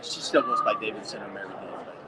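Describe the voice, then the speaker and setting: A man's voice: on the low side, animated, fast talker, noisy background. An older man chatting casually with visitors at a conference booth, rambling through long anecdotes. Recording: loud crowd chatter behind.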